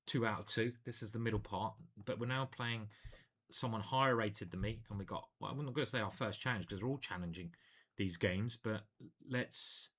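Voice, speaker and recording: A man's voice: lowish voice, a bit bright. A man, a chess grandmaster, casually commentating his own online games in a playful, chatty way. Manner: playful and chatty, hums and sings little jingles.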